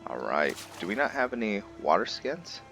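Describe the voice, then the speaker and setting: Male gaming host, low and bright-edged voice, thinking aloud haltingly, with music underneath. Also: very animated, vocal fry. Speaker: gaming video host and roleplayer. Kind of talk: casual gameplay commentary with roleplay storytelling.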